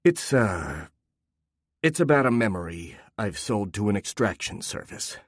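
A man voicing an uneasy adult man who confesses in halting, stumbling phrases. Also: fairly low pitch, big pitch swings, dry recording, studio quality.

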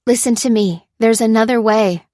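An anguished young woman researcher in an audiobook drama, acted by a female narrator. She speaks urgently and insistently, lifted in pitch and closely recorded in a dry space. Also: animated, normal speed, no echo, lightly acted. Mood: imploring.

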